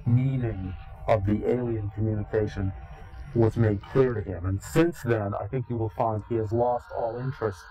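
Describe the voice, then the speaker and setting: A man giving an interview in a slow, grave, deliberate way, pausing often between phrases. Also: fairly low pitch, dark tone, low-quality recording. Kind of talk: ominous recorded interview.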